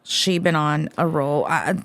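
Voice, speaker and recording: A woman's voice: low voice, steady tone, a little slow, a bit bright. A woman co-hosting a podcast, chatting casually and bluntly, swearing freely as she tells stories. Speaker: podcast co-host. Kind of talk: casual chat.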